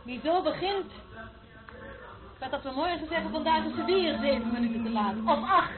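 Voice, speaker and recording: An actress in a radio play playing a stern, secretive senior worker who talks curtly and disapprovingly, like a schoolmistress. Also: female voice, sits high, normal speed, crowd noise, lightly acted. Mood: irritated, scornful. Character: stern, fault-finding senior woman worker, secretive and bossy. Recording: tinny narrow-band old radio play recording. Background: loud music.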